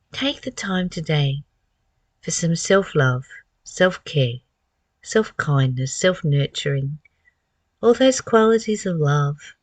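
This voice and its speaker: Low-pitched woman giving a spiritual talk. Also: no echo. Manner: reflective, unhurried phrasing with rhetorical questions. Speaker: spiritual teacher reading and commenting on a course. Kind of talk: spiritual talk, part reading, part reflection.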